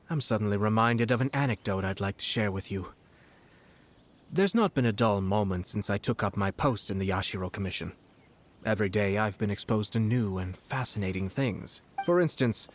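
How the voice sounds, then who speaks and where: An actor playing a composed, shrewd young nobleman in a video game, speaking eloquently with measured, subtly mocking courtesy. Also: lowish voice, natural voice. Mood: sly amusement. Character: composed, shrewd young noble lord, politely cutting commissioner.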